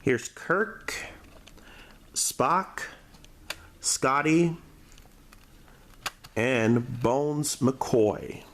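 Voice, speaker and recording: A man giving a casual, unscripted review as host, speaking haltingly and stumbling over some of his words. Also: mid-range voice, slight background noise. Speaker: DVD reviewer, show host.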